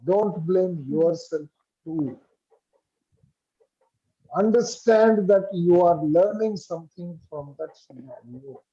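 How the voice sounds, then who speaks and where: A man leads a spiritual class over a video call. He pauses often to ask if his listeners follow. His voice sits fairly high for a man, with a dark, husky tone, and the call audio comes through muffled. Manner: measured, asks checking questions. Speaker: spiritual teacher. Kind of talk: online study group lecture. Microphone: computer mic on a video call.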